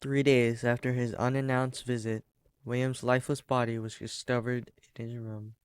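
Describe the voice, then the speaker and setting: A man doing a casual game playthrough, reading the story text aloud in a halting, stumbling way. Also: medium pitch, little pitch movement, clean tone, no room sound. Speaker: gaming video host reading a visual novel aloud. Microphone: desk USB condenser microphone. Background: game music.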